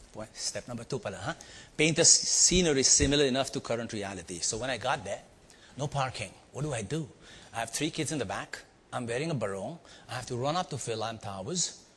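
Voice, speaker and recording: A man's voice: medium pitch, a bit bright, husky. A middle-aged man with an Indian accent tells a personal story to a live audience, acting out his exclamations and putting questions to the listeners. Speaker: speaker teaching storytelling. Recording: long reverb of a hall.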